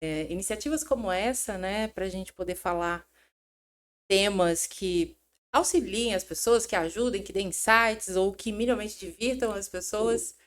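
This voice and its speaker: A middle-aged woman from São Paulo, a podcast guest telling stories in an animated, chatty way. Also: medium pitch, measured pace. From São Paulo, Brazil. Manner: animated, chatty, self-interrupting storyteller. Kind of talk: casual interview chat.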